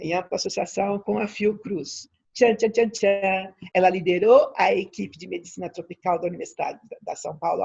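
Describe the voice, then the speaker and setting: A Brazilian woman giving an informal public lecture as a scientist, in an emphatic, theatrical and ironic manner, with a husky, creaky voice. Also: medium pitch, darkish tone. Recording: dull, lo-fi audio.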